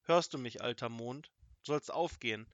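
A man's voice: medium pitch, moderate pace, no room sound, close to an everyday voice. A man voicing a plucky young puppet hero, speaking plainly and earnestly.

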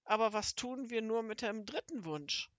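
A woman's voice: fairly high pitch, lively intonation, moderate pace, dry recording, muffled recording, pitched above the performer's own voice, close to an everyday voice. A woman voicing an eager, wide-eyed boy sidekick in a children's storybook. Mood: delighted, wondering. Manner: exclaiming, eager.

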